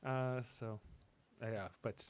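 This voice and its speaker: A man's voice: medium pitch, fairly even intonation, extremely slow, clean tone, dry recording. A man hosting a podcast in casual chat, rambling and hesitant, with a joking way of talking. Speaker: podcast host.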